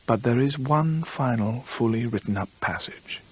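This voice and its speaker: An actor playing a grave gentleman storyteller, narrating a radio drama in measured, literary phrases. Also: mid-range voice, slightly rough, close to an everyday voice, rough recording. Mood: grave, confiding. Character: measured gentleman storyteller recounting another man's ghostly fate.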